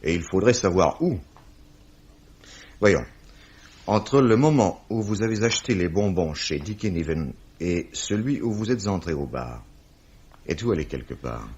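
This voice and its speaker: A wry police commissioner in a 1950s radio mystery. He talks in a low, richly inflected voice with courteous irony. The recording sounds muffled and old. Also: animated, a little mellow. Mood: explanatory. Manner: measured, ironic, courteous, eloquent. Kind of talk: 1950s French radio drama acting. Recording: dull vintage radio recording.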